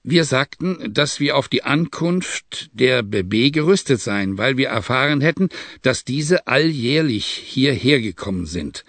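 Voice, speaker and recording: A man's voice: mid-range voice, lively intonation, measured pace, hardly any pauses, fairly bright tone, no echo. Man doing a plain-spoken tribesman. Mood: reporting. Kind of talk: audiobook reading.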